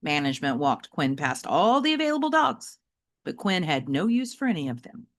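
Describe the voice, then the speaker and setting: Female children's book narrator, slightly low register, highly animated, expressive storytelling with varied pace. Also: moderate pace. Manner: animated, dramatic storyteller delivery with varied pacing. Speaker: teacher reading a novel aloud.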